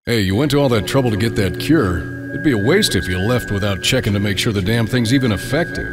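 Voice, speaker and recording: A sardonic, psychotic alter ego from a video game, acted out by a man. The voice sits fairly low, and the delivery is theatrical, smug and taunting. Music plays clearly behind the lines. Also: fast, natural voice. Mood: taunting, impatient.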